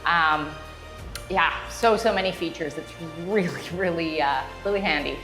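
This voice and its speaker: A woman's voice: fairly low pitch, moderate pace, poor audio. A woman giving a customer testimonial in a casual, explanatory, conversational interview style. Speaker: customer giving a testimonial, strata council member. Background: background music.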